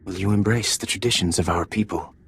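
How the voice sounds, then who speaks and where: A solemn, deep-voiced alien bridegroom. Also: male voice, a little fast, slightly rough, heavily acted. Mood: solemn, tender. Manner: formal, restrained, ceremonial.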